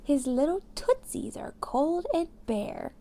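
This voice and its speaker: A woman's voice: high voice, sing-song, takes it slowly, mellow, pitched above the performer's own voice, exaggerated voice. A woman voicing an eager, helpful little girl in a picture book, emphatic and sing-song. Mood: eager, caring. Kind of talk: children's picture book read-aloud.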